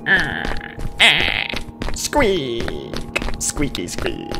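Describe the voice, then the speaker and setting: A man's voice: mid-range voice, huge pitch swings, extremely slow, creaky, music in the background. A man giving casual let's play commentary on a horror game, muttering asides, exclaiming nervously and making playful little noises. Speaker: gamer commentating on his horror game playthrough.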